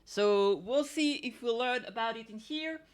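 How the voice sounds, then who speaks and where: A woman's voice: on the high side, unhurried, clear, resonant tone. A woman streamer chatting casually, rambling and thinking aloud as she reacts to games.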